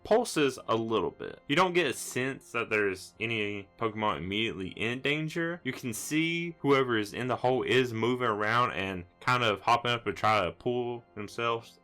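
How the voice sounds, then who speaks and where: A man's voice: mid-range voice, lively intonation, normal speed, few pauses, bright, forceful tone, faint music behind. A man improvising scene narration as the game master of a tabletop roleplaying game.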